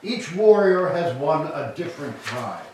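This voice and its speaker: Man playing an animated herald, very echoey. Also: fairly high pitch, measured pace. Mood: brisk, insistent. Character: Greek herald, brisk, evasive bearer of grim news. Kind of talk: staged reading of Greek tragedy. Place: staged reading in a church. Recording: distant, echoey hall with background noise.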